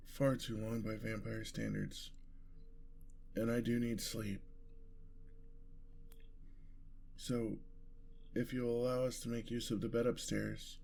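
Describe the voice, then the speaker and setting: A man playing a gentle, lovestruck, world-weary vampire in an ASMR roleplay, with courtly, flowery and tender phrasing. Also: medium pitch, gravelly, slight background noise, close to an everyday voice. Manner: formal, flowery, tender old-fashioned phrasing.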